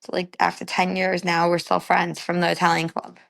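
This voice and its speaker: A woman's voice: lowish voice, monotone, brisk, creaky, a bit bright. A young woman from the Bronx with a New York accent, rambling casually as an interview guest and filling her talk with "like". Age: young adult. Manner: rambling, many fillers like "like".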